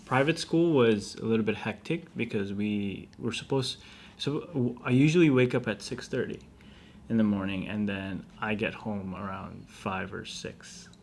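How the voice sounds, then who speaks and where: A man's voice: fairly low pitch, moderate pace, gravelly, a little hiss or hum. A man chatting casually as a vlog host. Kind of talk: casual chat.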